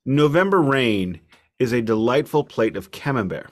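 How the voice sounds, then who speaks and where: A man's voice: medium pitch, expressive, moderate pace. Man, mid-range voice, wry, emphatic, discursive, casual album podcast co-host.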